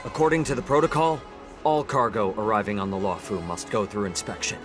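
A man's voice: fairly low pitch, brisk, music in the background, close to an everyday voice. A man playing a firm, by-the-book guard in a video game, speaking in an official manner.

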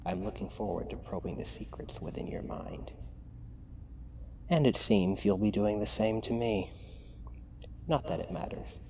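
A man playing a cold, menacing, possessive mad scientist in an ASMR roleplay, clinical and taunting in a hushed way. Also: fairly low pitch, unhurried, creaky, long pauses, slight background noise. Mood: possessive, sinister. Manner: clinical dictation mixed with hushed, taunting asides.

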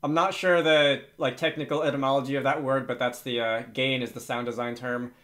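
A man with a mid-pitched voice hosts a screen-recorded programming tutorial. He talks informally and somewhat hesitantly, thinking aloud as he works. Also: steady tone, brisk, fairly bright tone. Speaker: tutorial host. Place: indoors at a computer, screen recording with typing.

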